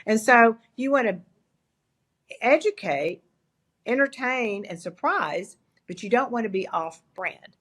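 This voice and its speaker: A woman's voice: fairly high pitch, measured pace. An older woman with an East Texas accent, a guest in an interview, talking candidly and telling stories with lots of restarts. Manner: candid, animated storytelling with frequent restarts. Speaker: guest, agency founder. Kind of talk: interview conversation.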